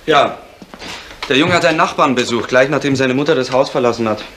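An actor playing a sober police investigator in a 1970s German radio crime drama. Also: male voice, mid-range voice, fairly quick, a bit bright, lightly acted. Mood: factual. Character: sober male police investigator reporting facts. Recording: faintly noisy old radio recording.

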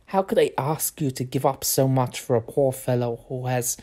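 An actor playing an earnest, humble immigrant professor in love, speaking formally and tenderly in foreign phrasing for an audio drama. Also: male voice, medium pitch, steady tone, moderate pace, mellow, natural voice.

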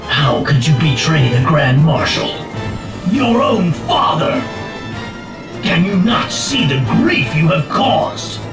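Angry game warrior, high-pitched for a man, imperious, slow and halting, music behind. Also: expressive, roomy sound. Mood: reproachful. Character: angry, obsessive male warrior loyal to his commander. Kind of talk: dramatic video game voice acting.

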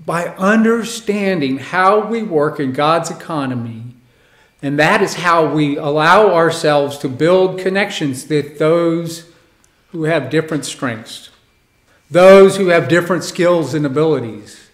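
Slow, measured preaching man. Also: on the high side, animated. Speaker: pastor. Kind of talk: sermon. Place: church sanctuary, indoors. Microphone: stand mic on pulpit. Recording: echoey church.

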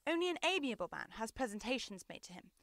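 Young woman as self-assured gentleman detective, highish pitch, theatrical delivery, radio play, dry close sound. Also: very animated, quick pace, no room sound. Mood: triumphant. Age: young adult. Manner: theatrical, declamatory, precise. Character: brilliant, self-assured Victorian male detective. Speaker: student actor. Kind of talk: 1930s-style radio drama acting.

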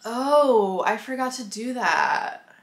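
A woman's voice: on the high side, takes it slowly. Young woman vlogger with a cold, rambling apologetically into an on-camera mic. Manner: apologetic and rambling, animated hand gestures. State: sick, a bit nasal. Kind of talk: casual vlog, talking to camera. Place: bedroom with couch, indoors. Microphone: camera mic at arm's length.

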